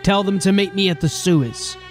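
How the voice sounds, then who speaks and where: A man's voice: high voice, fairly quick, faint music behind, lightly acted. A man playing a grim, determined city watch officer in a fantasy audio drama, speaking in a terse, commanding way. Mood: resolute.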